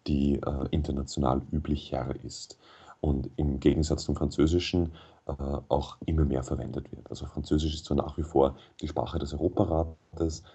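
A man speaking German as his first language, a radio interview guest who explains things in a measured way with frequent hesitations. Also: a bass voice, level tone, mellow, breathy edge. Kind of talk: thoughtful radio interview answers.

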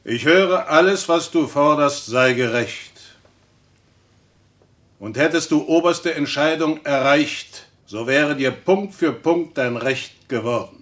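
An actor in a German radio play, playing a stern religious reformer who admonishes in weighty, preacherly speech. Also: male voice, fairly high pitch, takes it slowly, fairly bright tone. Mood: measured admonishing. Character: stern, reproving Protestant reformer and theologian.

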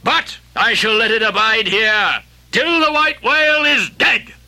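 A man voicing an old, obsessed sea captain, declaiming archaic lines with booming passion. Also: unhurried, bright, forceful tone, full character voice. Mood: fervent. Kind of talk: audiobook character voicing.

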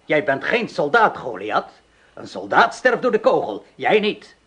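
A man's voice: on the high side, big pitch swings, unhurried, fairly bright tone, muffled recording. An actor playing a vengeful village man who stages a mock trial as its president, theatrical and menacing. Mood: cruel. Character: vengeful male village ringleader acting as judge. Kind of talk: Dutch radio drama acting.

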